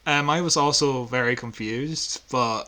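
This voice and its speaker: A man's voice: mid-range voice, measured pace, sharp tone. A man co-hosting a podcast, chatting casually as a fan in a dry, teasing way. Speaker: podcast co-host.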